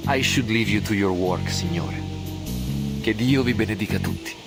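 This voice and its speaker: Under loud guitar music, a low-voiced priest for a game. He is courteous and grave, speaking with mild deference. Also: moderate pace, gravelly. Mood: solemn. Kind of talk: visual novel voice acting. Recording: music playing loudly underneath. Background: prominent plucked guitar music.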